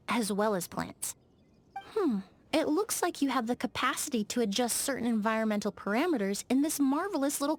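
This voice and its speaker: An actress playing a polite, precise young woman perfumer in a video game, speaking in a measured, articulate and frank way. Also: on the high side. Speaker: game character voice actor. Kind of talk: scripted video game dialogue.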